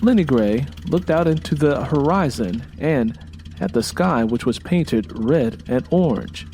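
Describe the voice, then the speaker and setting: A man narrating a scripted audio drama in a measured, dramatic storytelling manner. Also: mid-range voice, animated, darkish tone, a little hiss or hum. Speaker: narrator and writer.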